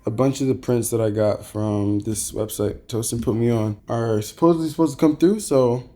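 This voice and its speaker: A young man vlogging in a soft, reflective, rambling way, with trailing fillers. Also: mid-range voice, moderate pace, faint music behind. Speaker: content creator. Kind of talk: casual vlog talk.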